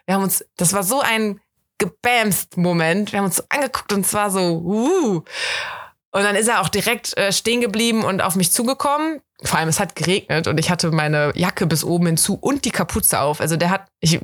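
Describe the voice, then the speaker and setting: A young woman from Germany, hosting a podcast, telling a story in casual, chatty fashion and acting out the quoted bits of dialogue. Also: a little fast. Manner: chatty and animated, voices quoted dialogue with big pitch jumps. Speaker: podcast host.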